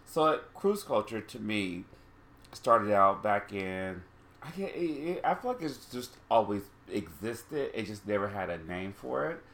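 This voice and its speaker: A man's voice: on the low side, big pitch swings, unhurried, a bit bright. A man of about forty co-hosting a candid chat, rambling and losing his thread. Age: 40s. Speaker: podcast co-host.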